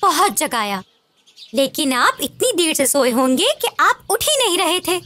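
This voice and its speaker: A woman playing a caring, gently scolding mother of young children in a cartoon. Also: high voice, big pitch swings, a little slow, exaggerated voice. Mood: gently lecturing.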